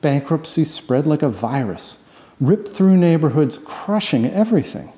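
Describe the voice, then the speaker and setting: Expressive, gravelly male storyteller in reverberant space. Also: on the high side, measured pace. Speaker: author reading own novel. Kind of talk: audiobook-style narration of fiction. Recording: long reverberant hall-like echo.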